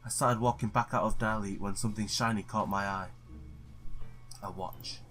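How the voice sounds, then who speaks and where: A man with a fairly low voice plays a visual novel and reads its text aloud. He trips over the odd word and breaks in with his own excited reactions. Faint game music plays behind him. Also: moderate pace. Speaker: gamer playing and reading a visual novel.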